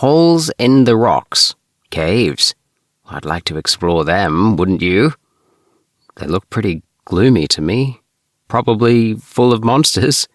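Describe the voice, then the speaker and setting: A man plays a young alien spaceman from a sci-fi storybook, chatty and adventurous. The delivery is relaxed and unhurried, with little hesitations, in a low male voice on a dry recording. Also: no echo. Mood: carefree, eager. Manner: relaxed, with sighs and hesitant uhs.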